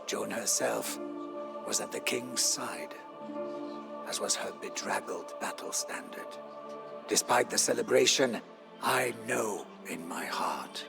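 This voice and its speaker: Gravelly, solemn French knight chronicler. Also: male voice, lowish voice. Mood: proud, reverent, then grave, doubtful. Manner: solemn, theatrical, with hushed phrase endings. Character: weary, devoted French knight chronicling a medieval war. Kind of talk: dramatic scripted narration, like a war journal. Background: prominent music.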